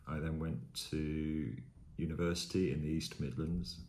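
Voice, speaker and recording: A man in his fifties from Staffordshire with a mild Birmingham accent, answering interview questions in a thoughtful, measured way with pauses. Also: a bass voice, steady tone, darkish tone, slight background noise. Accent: mild Birmingham (Brummie). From Staffordshire, England. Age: 50s. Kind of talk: reading word lists and answering interview questions. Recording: roomy echo.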